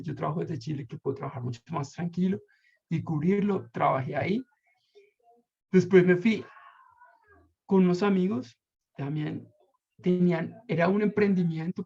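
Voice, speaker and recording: Husky gravelly Colombian man. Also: on the high side. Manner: rambling, reflective, many fillers and restarts. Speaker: interviewee. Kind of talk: casual storytelling interview. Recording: muffled lo-fi recording.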